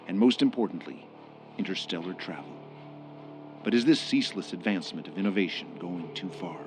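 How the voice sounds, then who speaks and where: A man reading dramatic scripted narration as the narrator of a radio drama. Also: low-pitched. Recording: music bed under narration.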